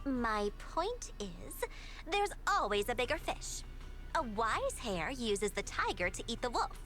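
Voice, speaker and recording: A brash young mercenary boss with a high, very animated voice. She boasts and teases theatrically, like a video game schemer with a plan for everything. Music plays behind her. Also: measured pace. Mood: boastful, scheming. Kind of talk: anime-style video game voice acting. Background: background music.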